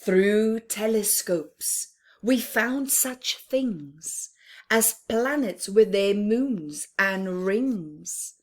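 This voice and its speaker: A woman reads aloud as a story time host for small children. Her pace is very slow and deliberate, with a mid-range pitch and pauses between short phrases. The delivery is expressive, often easing down toward a hush. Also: slightly rough. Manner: deliberate phrasing with pauses, often dropping to a hushed near-whisper. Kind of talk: expressive read-aloud for young children, with chatty asides.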